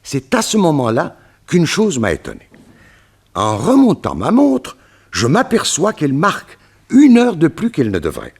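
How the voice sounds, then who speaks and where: A man playing a grave, troubled gentleman in a detective radio play, telling his account in a formal, theatrical way. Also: fairly high pitch, huge pitch swings, moderate pace, husky, full character voice. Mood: puzzled. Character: grave, troubled gentleman recounting a strange mishap.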